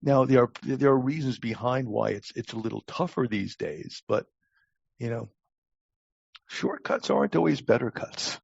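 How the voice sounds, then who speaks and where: An older man with an American accent giving reflective interview answers as a guest, halting and repeating words as his thoughts form. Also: mid-range voice, gravelly, a little mellow, husky, no room sound, muffled recording. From Long Island, United States. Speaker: podcast guest, talent acquisition advisor.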